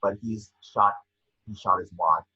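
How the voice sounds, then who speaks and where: Low, raspy man reading scene directions. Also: fairly even intonation, a little slow, bright, forceful tone, lo-fi sound. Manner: stumbles over the script. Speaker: scene-description reader. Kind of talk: scripted narration of a film screenplay, amateur table read.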